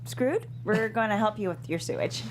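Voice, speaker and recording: An actress playing an earnest, idealistic young intern in a sitcom script read, with an eager, stammering delivery. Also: female voice, medium pitch, lively intonation, moderate pace, natural voice. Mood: flustered, eager. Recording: noisy room with hum.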